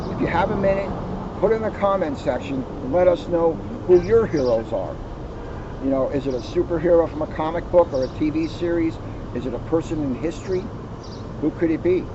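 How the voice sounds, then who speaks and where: Man reading aloud in muffled, noisy audio. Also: fairly high pitch. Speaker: police officer reading a children's story. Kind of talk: storybook read-aloud with casual chat.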